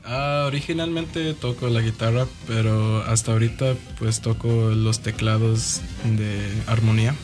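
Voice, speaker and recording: Young man, hesitant, soft-spoken, monotone, clear background music. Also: fairly low pitch, unhurried, hardly any pauses. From Madison, Wisconsin. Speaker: band member guest.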